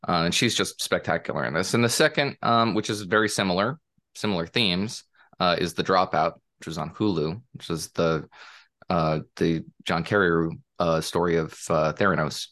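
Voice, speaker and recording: Deep-voiced man in halting casual chat. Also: a bit bright. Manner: hesitant, many fillers and restarts. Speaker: panelist. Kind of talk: casual podcast chat about TV.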